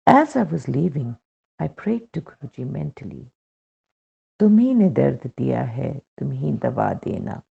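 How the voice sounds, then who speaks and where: Male narrator, Indian accent, for devotional testimonial reading: mellow but rough and gravelly, deliberate delivery, dull lo-fi sound. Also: a little high, big pitch swings. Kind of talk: scripted narration, reading a first-person testimonial aloud. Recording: dull, muffled lo-fi recording.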